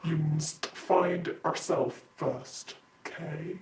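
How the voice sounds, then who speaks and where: A woman voicing an old male wizard headmaster, slow and portentous, in an amateur fan fiction dramatization. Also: sits low, a little mellow, voice pushed down. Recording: echoey room.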